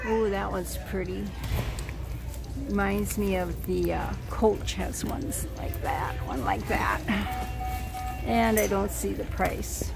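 A woman's voice: medium pitch, steady tone, vocal fry. A woman vlogging as she shops in a retail outlet, musing aloud and reading off prices as she browses, filmed on a handheld phone. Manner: slow, musing price read-outs while browsing. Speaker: shopping vlogger. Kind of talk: casual shop-with-me narration. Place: retail outlet store, indoors. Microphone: handheld phone camera. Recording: store music behind.

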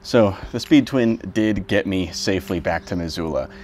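Relaxed young man vlogging a road trip. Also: low-pitched, moderate pace, slight background noise. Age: young adult. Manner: relaxed, rambling, with fillers and self-corrections. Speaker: motorcycle vlogger. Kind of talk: casual reflective vlog talk.

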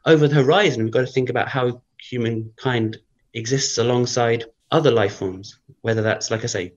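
A man, a guest researcher, thinking aloud in a casual interview, rambling with many fillers, his voice croaky from early morning. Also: medium pitch, normal speed, muffled recording.

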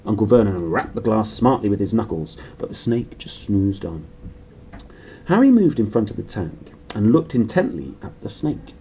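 A man in his thirties with a British accent, reading a storybook aloud in an animated way. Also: on the low side, moderate pace, slight background noise, poor audio. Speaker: book reader. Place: small room indoors.

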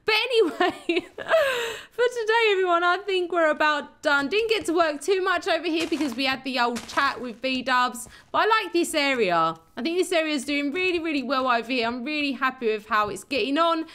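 Woman gamer, young adult, hoarse, high and bouncy pitch, giggly self-talk commentary. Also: lively intonation, normal speed, fairly bright tone. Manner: chatty, giggly, talks to herself and the viewers. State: hoarse, losing her voice. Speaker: game channel host. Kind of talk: casual Minecraft let's play commentary.

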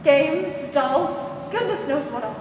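A woman's voice: high-pitched, expressive, slow. A woman playing a kindly, no-nonsense aunt in a 1940s-style radio drama. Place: school theater stage. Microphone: stand microphone, masked performer. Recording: very echoey, noisy theater, distant mic.

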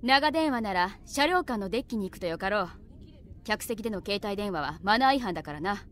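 A woman's voice: mid-range voice, expressive, brisk, slight background noise, natural voice. A woman playing a stern, composed student with stiff, old-fashioned formal speech in an anime audio drama. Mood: matter-of-fact.

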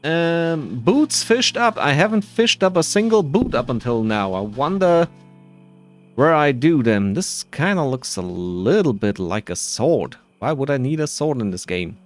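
Male gamer, unhurried casual commentary, half talking to himself, soft music underneath. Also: mid-range voice, big pitch swings. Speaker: gamer narrating a playthrough.

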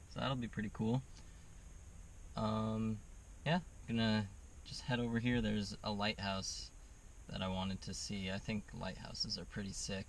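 A young man with a lowish voice chats loosely to his action camera, filling pauses with easy ums and likes. He films from the driver's seat and out by the shore. Heavy road and wind noise sits under every word. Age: young adult. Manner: laid-back, rambling, lots of fillers. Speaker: vlogger on a road trip. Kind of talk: casual travel vlog. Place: inside a car and outdoors by the sea. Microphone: action camera on dashboard or held at arm's length. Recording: loud car and wind noise.

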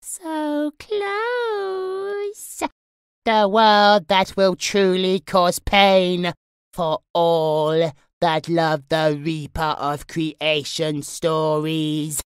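A woman's voice: on the low side, very slow, clear, resonant tone, no echo, studio quality. A woman playing a gleeful, sinister demonic entity in a horror audio roleplay, taunting in a singsong, menacing way. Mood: hungry glee.

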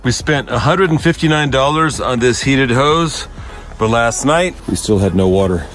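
A man in his fifties films himself outdoors, phone at arm's length, and his voice swings up and down as he cracks dry jokes about life on the road. His pitch sits in the middle of the male range. Music plays clearly under his narration. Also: normal speed. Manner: joking, lively and wry. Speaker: RV vlogger. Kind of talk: casual travel vlog chat. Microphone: phone held at arm's length.

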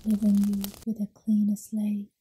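Slow, very soft husky ASMR woman. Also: medium pitch, completely flat delivery. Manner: mostly whispered, soothing, unhurried delivery. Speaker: guided meditation host. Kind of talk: ASMR guided meditation.

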